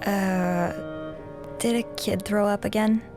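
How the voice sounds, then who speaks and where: A woman's voice: mid-range voice, fairly even intonation, takes it slowly, a little mellow, soft background music, lightly acted. A woman playing an earnest, dry-witted young hotel maid in a video game cutscene. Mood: dry, polite.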